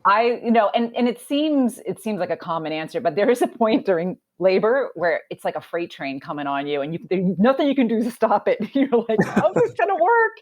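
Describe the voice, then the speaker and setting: A woman telling stories as a podcast guest, animated and quick to laugh. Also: medium pitch. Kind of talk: casual chat.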